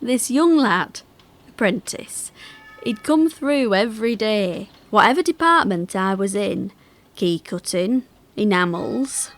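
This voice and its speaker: A woman performs an older working-class woman from the factory floor, with a medium-pitched voice. She reminisces in a theatrical monologue, chatty and confiding, with wry fondness and homely dialect turns. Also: expressive, slow, soft background music. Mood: chatty, nostalgic.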